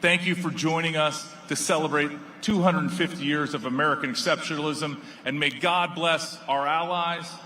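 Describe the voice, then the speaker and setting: A man delivering a formal, rhetorical speech at a ceremony with a solemn, measured cadence. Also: on the high side, steady tone, pushed and bright. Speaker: speaker at a formal celebration.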